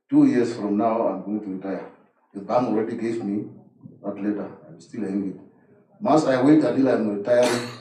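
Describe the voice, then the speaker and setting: A man in a workshop audience asking a question, halting as he thinks aloud. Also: fairly low pitch, dark tone. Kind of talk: question from the floor. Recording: echoey hall, distant mic, faint noise.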